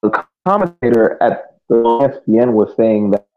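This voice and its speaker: A man co-hosting a sports podcast, giving casual soccer analysis in a measured, hesitant way, repeating phrases as he reasons. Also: medium pitch, lively intonation, faint music behind. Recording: tinny phone-like connection.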